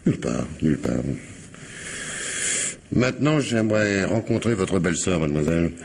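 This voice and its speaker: A deep-voiced, probing police commissioner. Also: male voice, sing-song, vocal fry, a little mellow, slight background noise, heavily acted. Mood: insistent, sly. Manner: hesitant, repeats words, deliberate questioning. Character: probing male police commissioner, polite but insistent. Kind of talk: French radio mystery drama acting.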